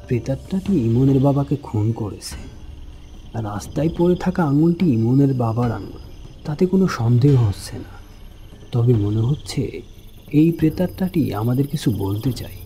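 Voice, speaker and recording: A man's voice: mid-range voice, dark tone, lightly acted. A man playing a frightened, wary young man who narrates and speaks in a horror cartoon with dramatic suspense.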